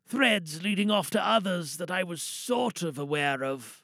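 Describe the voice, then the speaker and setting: A man acting a remorseful, guilt-laden confessor in an audio drama monologue, reflective and halting, with a creaky voice. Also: high voice, lively intonation, fairly bright tone, dry recording, clean studio sound, heavily acted. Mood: remorseful, subdued. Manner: halting, reflective, plain-spoken. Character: remorseful man confessing to having taken a woman's life.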